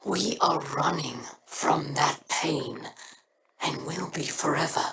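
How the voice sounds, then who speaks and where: An actress playing a stern, solemn alien house leader in a video game, speaking in a measured way. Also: female voice, low voice, noisy background.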